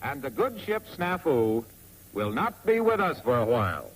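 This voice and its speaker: A man with an American accent narrates a 1940s instructional film in a wry, clearly phrased, scripted manner on a vintage soundtrack. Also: mid-range voice, big pitch swings, normal speed, background noise. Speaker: narrator of a military training film.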